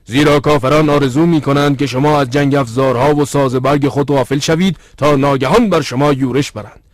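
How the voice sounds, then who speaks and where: A man acts as an earnest narrator for an educational religious cartoon. He sits at a middling pitch, speaks in formal, bookish phrases with a measured delivery, and sounds close and dry. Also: fairly even intonation, no echo, natural voice. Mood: solemn.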